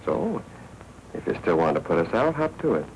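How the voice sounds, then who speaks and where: A commanding, fearless American newspaperman from a 1940s crime film. His delivery is brisk and firm, with a wide-moving melody. The old soundtrack has slight noise. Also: deep voice, big pitch swings, a little mellow, low-quality recording. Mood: defiant.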